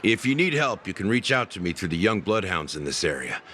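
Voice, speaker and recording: An actor voicing a courteous, confident and reassuring security officer in a video game. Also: male voice, deep voice, big pitch swings, brisk, sharp tone, slight background noise, heavily acted. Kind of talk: scripted video game dialogue.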